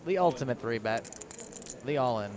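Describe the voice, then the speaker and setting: A man's voice: medium pitch, animated, normal speed, background noise. A man commentating on poker hands on a live webcast, a playful co-host who cracks jokes.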